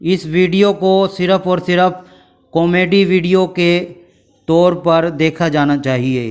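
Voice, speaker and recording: A man's voice: high-pitched, steady tone, a little slow, clean tone. A man reading scripted narration as the host of a video channel.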